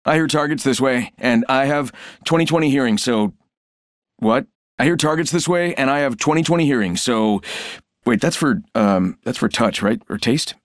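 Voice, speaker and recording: An actor playing a wisecracking, insecure show-off who rambles and second-guesses himself in video game voice lines. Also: male voice, mid-range voice, normal speed, dry recording, clean studio sound, close to an everyday voice. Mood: joking.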